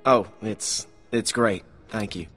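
An actor playing a wary, level-headed young man in a video game drama, with a husky voice. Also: lowish voice, takes it slowly, fairly bright tone, long reverb tail, faint music behind. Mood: guarded.

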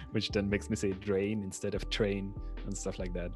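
Low-voiced Austrian man on a livestream. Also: flat delivery, slow, soft background music. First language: German. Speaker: livestream co-host. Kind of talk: casual livestream chat and reading aloud while studying. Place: small room indoors. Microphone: microphone on a boom arm close to the mouth.

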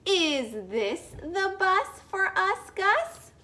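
Woman voicing an eager, high-pitched little girl. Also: big pitch swings, extremely slow, bright, forceful tone, full character voice. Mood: eager, curious. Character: eager little girl asking at bus stop. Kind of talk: children's picture book read-aloud.

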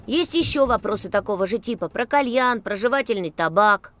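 A woman voicing a bright, curious young boy in an educational children's cartoon, clearly enunciated and inquisitive. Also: medium pitch, expressive, brisk, no echo, lightly acted. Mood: curious, keen. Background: music.

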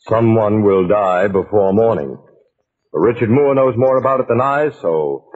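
A man's voice: low-pitched, husky. A man announcing stories in a dramatic old-time radio style, addressing the listener in a theatrical, teasing way. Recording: narrow-band vintage radio recording.

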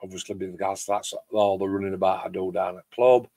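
Loud man, fairly deep voice. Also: fairly even intonation, fairly quick, dry recording, studio quality. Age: middle-aged. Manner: rambling, chatty. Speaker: host of a hobby club livestream. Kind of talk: casual livestream chat. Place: home garage workshop shed.

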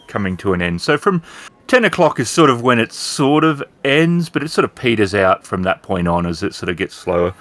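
A man narrating an improvised tabletop roleplaying game as storyteller, describing scenes in a halting way. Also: on the low side, a bit bright.

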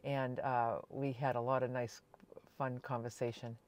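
A woman in her sixties chatting casually to camera as a vlogger, telling everyday stories in a chatty, animated way. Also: extremely low pitch, unhurried. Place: inside a small camper van.

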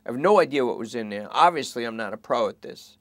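A man's voice: medium pitch, expressive, quick pace, dry recording, natural voice. A man putting on a whiny, pleading junkie thief. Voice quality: pleading, rambling and self-pitying.

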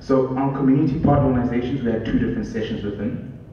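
A man in his thirties with a South African English accent, a program manager giving a loud, friendly conference talk with a handheld mic. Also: mid-range voice, quick pace, recorded from a distance, slight background noise. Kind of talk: conference presentation. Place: large hall with curtains. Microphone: handheld microphone. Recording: echoey hall with long reverb, dull.

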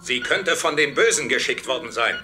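A woman's voice: extremely low pitch, expressive, normal speed, bright, forceful tone, faint music behind, exaggerated voice. A woman playing a terse, authoritative secret contact in an audio drama. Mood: curt, commanding. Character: curt, commanding secret contact in arcade machine. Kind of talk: scripted German audio drama acting.

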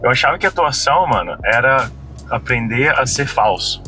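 Bright-voiced Brazilian man chatting in a car. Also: medium pitch, moderate pace, faint music behind. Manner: relaxed, slangy, animated storytelling. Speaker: podcast guest. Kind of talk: casual chat. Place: parked car interior. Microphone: fixed dashboard camera.

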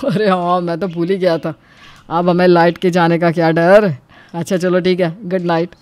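A woman voicing a weary, grumbling married man, the elder brother in an animated family story. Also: on the low side, normal speed, no echo, pitched below the performer's own voice, natural voice. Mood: relieved, agreeable.

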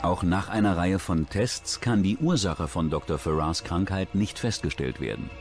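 A man narrates a true-crime documentary in a measured, serious tone. His voice sits very low. Clear music plays underneath throughout. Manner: measured, serious storytelling delivery. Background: steady background music.

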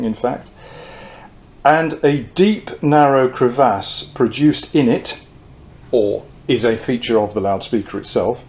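A man in his sixties presents an informal technical talk at a medium pitch. He explains step by step in a measured manner, often pausing mid-phrase. Faint noise and room ambience sit behind his voice. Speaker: presenter of technical talks on loudspeakers. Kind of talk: informal whiteboard lecture, reading quotes from notes. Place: indoor room at a whiteboard.

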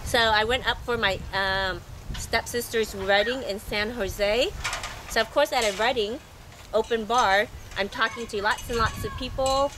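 A middle-aged woman with a scratchy, hoarse voice, rambling casually in a voice-over for her travel vlog. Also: a little high, a little slow. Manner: rambling, chatty, loud talker. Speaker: vlogger.

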